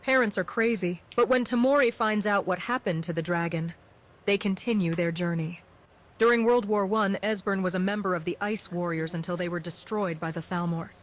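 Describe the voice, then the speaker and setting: A woman's voice: mid-range voice, fairly quick, close to an everyday voice. A woman voicing a stern, secretive female resistance agent in a fantasy video game. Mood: stern, serious. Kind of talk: video game character dialogue.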